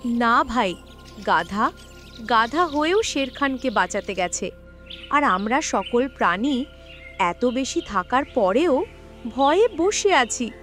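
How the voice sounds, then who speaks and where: A woman's voice: a little high, lively intonation, slow, long pauses, fairly bright tone. Lady doing an earnest cartoon forest animal, exclaiming, takes her time, music behind. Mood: ashamed, urging. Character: earnest forest animal urging the others to help. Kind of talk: animated moral story dubbing.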